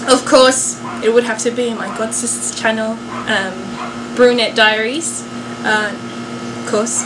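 A young woman talks in a fairly high voice, casually answering questions for her viewers. She is chatty and pokes fun at herself. There is clear noise behind her voice. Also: steady tone, takes it slowly. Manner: chatty, self-joking. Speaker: vlogger and singer.

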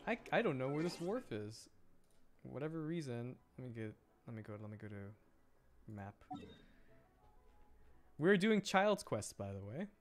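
Man, game streamer, lively swinging pitch, casual live commentary, muttering to himself, faint music in the background. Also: measured pace, long pauses. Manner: animated, muttering to himself with exasperated questions.

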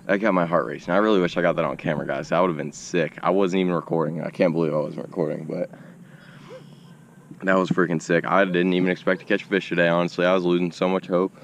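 Young fishing vlogger, deep-voiced, excitable; self-filmed outdoors, faint background noise. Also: male voice, dull audio. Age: young adult. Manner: excitable, slangy, addresses viewers as y'all. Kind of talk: casual self-filmed narration. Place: outdoors beside a suburban pond. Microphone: action camera on chest and at arm's length.

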